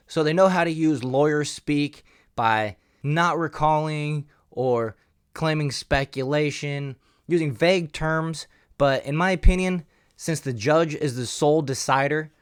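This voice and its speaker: A man's voice: fairly high pitch, smooth, dry recording, studio quality. A man hosting a commentary channel, moving between reading news and giving his own opinion in a conversational, emphatic way.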